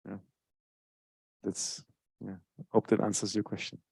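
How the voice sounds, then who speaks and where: A low-pitched man speaks to a live audience at a book event, working through his answer step by step. He often checks in with "right?". His voice is close and dry, with no room echo. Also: monotone, a little slow, gravelly, a little mellow, slightly rough. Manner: thoughtful, explanatory, often tags with "right?". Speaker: author answering audience questions.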